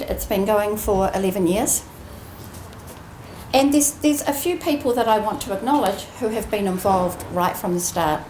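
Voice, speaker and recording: A woman giving a prepared ceremony speech as an executive, measured and earnest with deliberate emphasis. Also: mid-range voice, a little mellow. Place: indoor ceremony room. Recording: roomy echoing venue, faint background noise.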